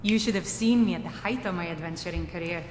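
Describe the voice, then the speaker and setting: A woman's voice: on the low side, quick pace, lightly acted. A woman voicing a proud, nostalgic retired adventurer in a fantasy video game. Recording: echoey hall, background noise.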